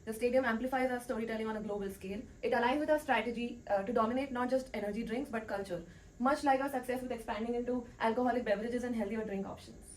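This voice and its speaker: Quick woman presenter, slightly distant. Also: a little high, darkish tone. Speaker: panelist in a business role play. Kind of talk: scripted debate presentation. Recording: distant mic, faint background noise.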